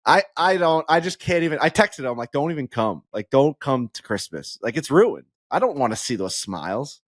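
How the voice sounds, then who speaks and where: A man's voice: medium pitch, normal speed, no echo. A man co-hosting a podcast who gets worked up as he talks and swears freely. His voice sits in a middle register. It is recorded close on a dry studio mic with no room sound. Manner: animated, ranting, swears freely. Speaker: podcast co-host. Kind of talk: casual sports chat.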